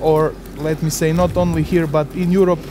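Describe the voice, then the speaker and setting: A man's voice: fairly high pitch, level tone, moderate pace. A man speaking as a student in a testimonial to camera, outdoors. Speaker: master's student. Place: outdoors in front of a university building. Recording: clear outdoor traffic noise.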